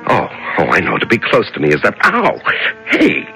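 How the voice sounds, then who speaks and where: Desperate, gravelly prisoner, wildly swooping pitch. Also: male voice, wildly swooping pitch, pushed and bright, faint music behind, heavily acted. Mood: startled, tender. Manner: halting, repeats words, talks tenderly to himself and a mouse. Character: desperate lonely male prisoner in solitary darkness, fragile sanity. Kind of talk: 1970s radio drama acting, dramatic monologue.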